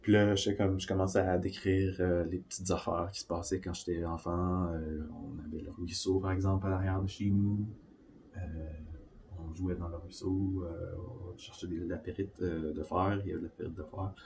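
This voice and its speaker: A man from Beauce with a Quebec French accent, rambling through personal reflections in a halting way, often searching for words. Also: low-pitched, flat delivery, noisy background. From Beauce, Quebec, Canada.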